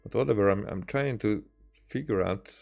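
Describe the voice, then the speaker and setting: A man streaming games, chatting casually in a hesitant, halting way as he thinks aloud. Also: lowish voice, creaky, darkish tone. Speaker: game streamer. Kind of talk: casual livestream chat. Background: music.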